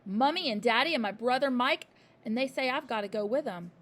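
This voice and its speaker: A woman's voice: high voice, big pitch swings, normal speed, a bit bright, voice pushed up. A woman voicing an eager, pleading little girl. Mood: distressed. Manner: breathless, pleading, urgent. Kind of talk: storybook reading.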